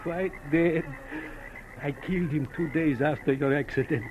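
Formal, courteous but sinister gentleman, acted by a man, higher male register, precise wording, slightly noisy vintage radio drama. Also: a little slow, lightly acted. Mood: coolly gloating. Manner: formal, precise, deferential phrasing. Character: polite, formal, quietly menacing male property owner. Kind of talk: old-time radio drama acting.

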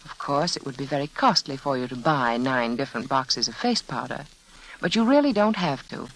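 An American woman giving a measured, confiding sales pitch as hostess of a 1940s radio show. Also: expressive, rough recording. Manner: measured, confiding, direct address. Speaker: sponsor's hostess. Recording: old radio broadcast, faint noise.

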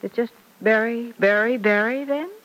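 A woman playing a fond, slightly fussy middle-aged mother in an old-time radio soap opera, gentle and expressive. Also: fairly high pitch, moderate pace, lightly acted, rough recording. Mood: reassuring, probing. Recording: vintage radio recording.

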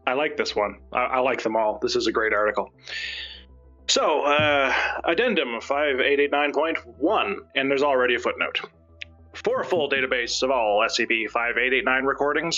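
Forceful, husky man, irreverent. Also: medium pitch, muffled recording. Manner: joking, irreverent. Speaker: guest reader. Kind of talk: casual chat and dramatic reading on a stream.

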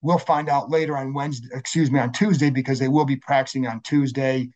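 A man's voice: mid-range voice, fairly even intonation, fast, darkish tone, dull audio. A man with a scratchy voice hosting a sports podcast, talking casually and correcting himself as he goes. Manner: conversational, self-correcting, many fillers. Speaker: podcast host. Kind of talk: solo sports podcast intro.

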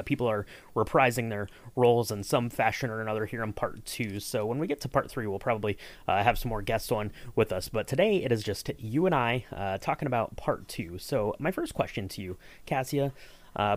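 A man's voice: mid-range voice, dry recording. A man hosting a podcast in a casual, enthusiastic interview chat. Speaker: podcast host.

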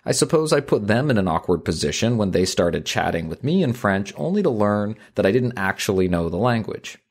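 A man's voice: fairly low pitch, a little mellow. A man co-hosting an etiquette advice podcast, talking things through thoughtfully and reading a listener letter aloud. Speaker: podcast co-host.

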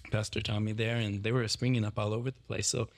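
A man's voice: on the low side, flat delivery, fast. A man who plants churches as a missionary, telling his story in a podcast interview with many fillers and restarts.

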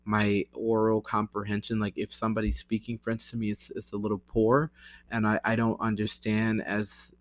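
An American man with a fairly low voice chats informally in a dry-sounding recording. He rambles and breaks off mid-thought, circling back with plenty of "like" and "you know" as he goes. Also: completely flat delivery, no room sound. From United States. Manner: halting, self-interrupting, with many "like" and "you know" asides. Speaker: language learner vlogger. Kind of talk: casual rambling talk to camera.

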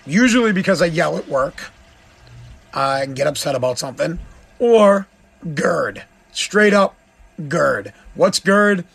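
A middle-aged man with a hoarse, worn-out voice, rambling bluntly as he hosts his podcast. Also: on the high side, lively intonation, unhurried, fairly bright tone. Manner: rambling, blunt, self-deprecating. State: hoarse, lost voice. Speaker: podcast host. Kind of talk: casual solo podcast talk.

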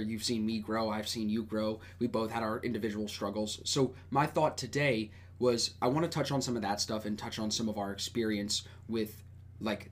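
A man hosting a podcast, talking in a relaxed, friendly way with lots of casual filler words. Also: mid-range voice. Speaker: podcast host.

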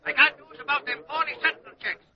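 An actor playing a brash, slangy, excitable newspaper reporter in 1940s radio style. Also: male voice, very high-pitched, quick pace. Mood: brisk, eager. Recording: dull old radio recording.

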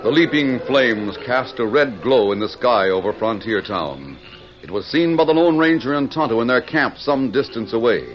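A man's voice: mid-range voice, animated, moderate pace, natural voice. A man narrating a western adventure in dramatic, scripted 1940s radio style. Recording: muffled old radio with music behind.

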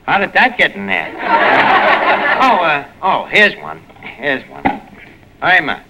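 An actor playing a gruff, dim, wisecracking New York tavern manager in 1940s radio comedy, bumbling and slangy on an old broadcast recording. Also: male voice, a little high, wildly swooping pitch, slow pace, full character voice. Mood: hopeful, fumbling. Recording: noisy vintage radio broadcast.

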